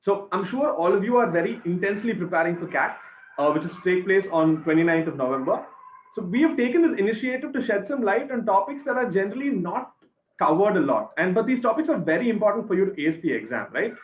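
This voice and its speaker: A man's voice: high voice, animated, fast, slight background noise. A man in his twenties with an Indian accent, hosting a casual discussion and often tagging his points with questions. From Chennai, India. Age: 20s. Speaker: student panel host. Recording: echoey, thin phone-like video-call sound.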